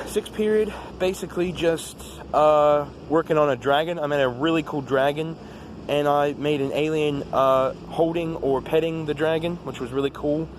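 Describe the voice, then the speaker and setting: A teenage male with an American accent gives a casual, rambling vlog-style recap of the day, halting often as he talks. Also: a little high. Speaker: vlogger. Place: outdoors. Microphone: phone. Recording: noisy outdoor phone recording with traffic.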